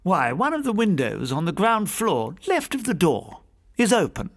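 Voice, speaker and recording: Man voicing an assured, precise don, high lilting pitch. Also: wildly swooping pitch, normal speed, heavily acted. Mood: emphatic. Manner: precise, donnish, matter-of-fact. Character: Nisbet, methodical, assured male university don, precise observer.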